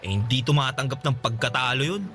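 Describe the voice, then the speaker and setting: A man's voice: medium pitch, fast, sharp tone, faint music behind, natural voice. A male actor playing an easygoing, forgiving young man comforting his buddy, with casual, reassuring radio drama dialogue. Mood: fond, wistful.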